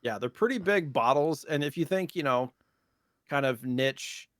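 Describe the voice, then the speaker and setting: A man's voice: mid-range voice, animated, unhurried, fairly bright tone, dry recording. Casual man with a dry, close sound. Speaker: podcast co-host. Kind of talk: casual chat about retro gaming news.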